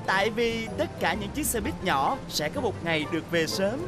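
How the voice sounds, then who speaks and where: A woman's voice: mid-range voice, lively intonation, normal speed, loud music behind the voice, lightly acted. A woman voicing a cheerful little boy bus in a cartoon dub, friendly and inviting. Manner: friendly, upbeat.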